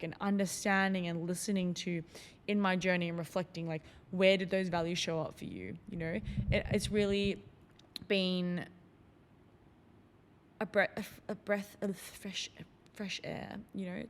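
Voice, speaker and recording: A woman's voice: on the low side, long reverb tail. A woman hosting a solo podcast, talking in a loose, reflective way with lots of fillers. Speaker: podcast host.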